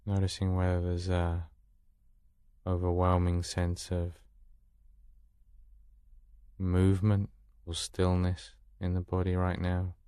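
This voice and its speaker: Deep-voiced man guiding a calm meditation. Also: clear, resonant tone, dry recording, clean studio sound. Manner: calm, soothing instruction with long pauses. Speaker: meditation guide. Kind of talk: guided meditation.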